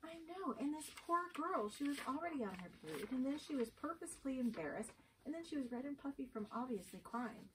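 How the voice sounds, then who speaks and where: A woman's voice: sits high, expressive, quick pace, few pauses. A woman reading the part of an indignant, caring female schoolteacher in an amateur script reading, recorded at a distance. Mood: concerned, upset. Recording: distant mic, faint room noise.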